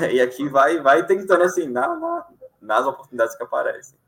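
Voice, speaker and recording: A man's voice: fairly high pitch, moderate pace, creaky. A young man from Alagoas, Brazil, a sign language interpreter, chatting casually in an interview with frequent fillers and easy laughter. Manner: relaxed, many fillers, laughs easily.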